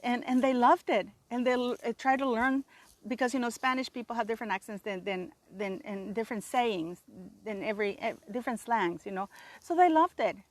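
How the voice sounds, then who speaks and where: A woman's voice: fairly high pitch, brisk, no echo. Emphatic Canadian woman, dry sound. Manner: emphatic, indignant, rambling with restarts. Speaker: channel host. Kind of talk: opinionated solo commentary.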